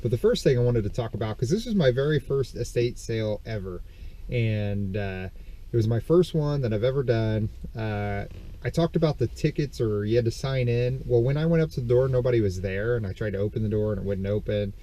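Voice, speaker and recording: Chatty congested man with a dark voice. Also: medium pitch, brisk. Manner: chatty, rambling, frequent ums and self-corrections. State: congested from dust allergy. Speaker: vlogger showing reseller finds. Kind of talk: casual haul video. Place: parked car. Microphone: camera on the steering wheel.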